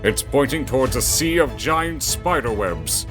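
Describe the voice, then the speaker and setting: Animated male story narrator over music. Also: mid-range voice, measured pace. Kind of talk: dramatic scripted story narration.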